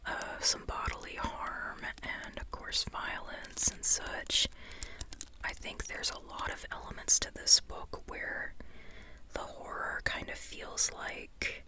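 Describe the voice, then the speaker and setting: An ASMR host speaks in a steady whisper, taking their time over each phrase. The delivery is soft and informal, rambling along and circling back to repeat words. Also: takes it slowly. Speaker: ASMR host reviewing a book.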